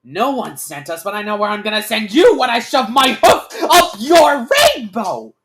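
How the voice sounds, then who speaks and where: A man's voice: sits high, lively intonation, moderate pace, fairly bright tone, voice pushed up. A man voicing a brash, combative female pegasus pony in a storybook reading, cocky and threatening.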